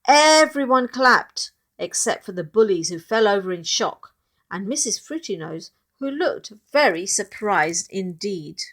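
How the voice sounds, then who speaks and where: A woman reading a picture book aloud expressively, pausing to speak directly to child listeners. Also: medium pitch, animated, fairly bright tone.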